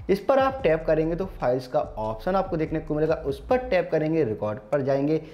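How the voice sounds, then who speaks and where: Higher-pitched Indian man explaining steps. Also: dull audio. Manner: instructional, step-by-step, addressing viewers formally. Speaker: tech channel host. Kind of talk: scripted-sounding phone tutorial narration.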